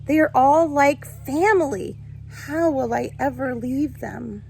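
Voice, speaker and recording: A woman's voice: high-pitched, takes it slowly, creaky, darkish tone, soft background music, pitched above the performer's own voice, exaggerated voice. A woman voicing a polite, friendly male hermit crab in a picture book, exclaiming and wondering courteously. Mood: fond, worried.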